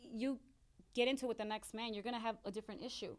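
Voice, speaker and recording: A woman's voice: fairly high pitch, fairly even intonation, racing. A woman from Chicago with an American accent, talking casually and candidly as a podcast guest, with emphatic delivery. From Chicago, United States. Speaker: podcast guest, small business owner. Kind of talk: casual personal chat.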